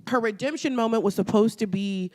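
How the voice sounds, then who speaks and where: A woman's voice: fairly high pitch, brisk, warm tone. A woman co-hosting a podcast, chatting about pop culture in a slangy, playful way and laughing easily. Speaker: podcast co-host. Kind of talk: casual pop culture chat.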